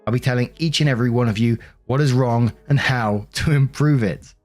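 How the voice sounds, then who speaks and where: A man's voice: medium pitch, a little fast, a little mellow, soft background music, natural voice. Man reading as an indignant, bossy bride. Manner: self-righteous, emphatic, bossy. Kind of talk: dramatic reading of social media posts.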